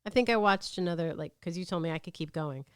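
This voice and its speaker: A woman's voice: fairly low pitch, fast talker, clean tone, no room sound, studio quality. A woman talking casually as a panelist on a talk show. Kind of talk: casual chat.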